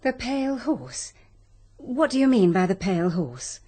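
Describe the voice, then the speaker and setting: A woman's voice: on the high side, big pitch swings, unhurried, warm tone. An actress playing a genteel, guarded stepmother in a radio drama, clipped and coolly disapproving. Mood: guarded, defensive. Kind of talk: 1940s-style British radio drama acting.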